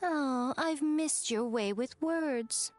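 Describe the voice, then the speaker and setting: A woman's voice: high-pitched, takes it slowly, a little mellow, soft background music. A woman playing a sly, elegant, self-assured shrine maiden with an arch, teasing manner in an anime-style video game. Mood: sly, playful. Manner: arch, playful, teasing, theatrical.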